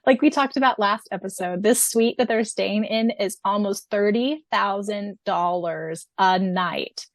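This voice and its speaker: A young adult woman co-hosting a podcast in an animated, exclamatory, casual style. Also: medium pitch, measured pace, dry recording. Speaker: podcast co-host. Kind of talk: casual chat.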